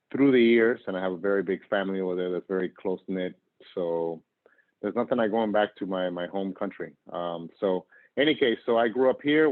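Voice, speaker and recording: Male interview guest from Argentina, fairly deep, conversational and wandering, heard through a narrow telephone-like connection. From northern Argentina, moved to the US at ten. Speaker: interview guest, nonprofit executive director. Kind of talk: casual conversational self-introduction. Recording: phone-like, narrow radio-style call audio.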